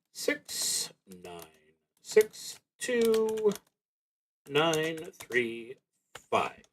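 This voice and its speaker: A man hosting a solo tabletop roleplaying stream, talking casually and haltingly as he thinks aloud, with long pauses. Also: mid-range voice, a bit bright.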